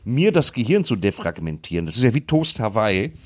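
Very animated man, close dry sound. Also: fairly low pitch, brisk, no echo. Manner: joking, wry interjections. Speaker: film podcast co-host. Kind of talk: casual film chat.

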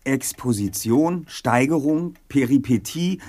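A man's voice: mid-range voice, animated, slow, warm tone. A man acting as a brainy, precise teenage boy detective leader in a German audio drama, articulate and pedantic. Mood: pondering, lecturing.

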